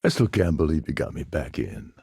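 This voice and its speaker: A man playing a gruff, sardonic space pirate con man in a video game, with a gravelly, husky voice and a wry, scheming manner. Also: a bass voice, very animated, fairly quick, a little mellow, no room sound, clean studio sound, full character voice. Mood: sly, measured. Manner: wry, sarcastic, world-weary.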